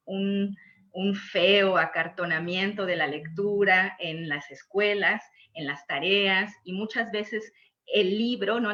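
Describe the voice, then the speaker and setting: A woman talks in a panel discussion with a mid-pitched voice, thoughtful and searching for her words as she goes. She often closes a thought with a small tag question. The sound is muffled and lo-fi, like a call. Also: fairly bright tone. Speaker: panelist who promotes reading with young children.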